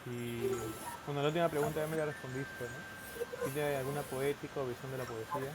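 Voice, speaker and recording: A man hosting a casual live interview in a tentative, halting way. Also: medium pitch, steady tone, over loud music. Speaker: interview host. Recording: noisy live-stream audio.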